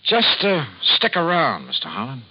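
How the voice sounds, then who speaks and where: A man's voice: a little high, wildly swooping pitch, moderate pace, slight background noise. A male actor playing a shrewd, wry American insurance investigator in a vintage radio detective drama, narrating and talking in a clipped, hard-boiled way. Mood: guarded. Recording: dull old radio recording, loud music.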